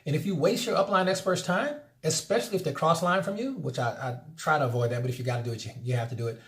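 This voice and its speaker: A man's voice: a little high, quick pace. A man teaching network-marketing sales, talking casually and acting out phone conversations with energy. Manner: energetic, acts out phone scripts, many restarts. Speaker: MLM sales trainer. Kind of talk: casual instructional talk.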